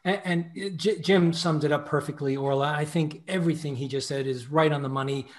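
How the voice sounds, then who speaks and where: A man's voice: on the high side, brisk. A middle-aged man, a panel guest on a video call from a home office, speaking earnestly and with conviction. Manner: earnest, speaks with conviction, gestures with his hands. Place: home office with framed photos.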